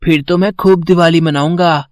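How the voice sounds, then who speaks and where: A man's voice: fairly high pitch, fairly even intonation, normal speed, dry recording, studio quality, close to an everyday voice. A man voicing an eager little boy, a poor younger brother in a moral story, pleading in a childlike way. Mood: excited.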